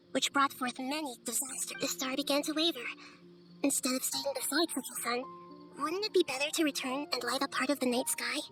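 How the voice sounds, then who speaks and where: A woman voicing a wise, gentle little-girl god in a video game, speaking in a measured, thoughtful, articulate way. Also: high-pitched, close to an everyday voice, poor audio. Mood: calm, reflective. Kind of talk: scripted video game voice acting.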